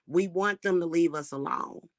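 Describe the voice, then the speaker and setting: A woman with a slightly hoarse voice, talking casually and defiantly to her viewers about her spiritual practice. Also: fairly low pitch, moderate pace. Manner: chatty, defiant, addresses viewers as y'all. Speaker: spiritual reader and channel host.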